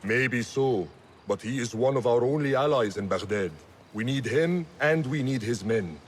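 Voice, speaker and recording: An actor playing a firm, pragmatic male assassin leader in a video game, commanding and deliberate. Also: medium pitch, slight background noise, natural voice. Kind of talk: video game cutscene acting.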